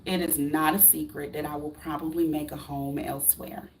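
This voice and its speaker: A woman's voice: deep voice, level tone, moderate pace, slight background noise, rough recording. A woman in her late thirties, from the United States, giving a blunt, preachy motivational talk to camera, full of rhetorical questions and casual swearing. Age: 30s. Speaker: vlogger.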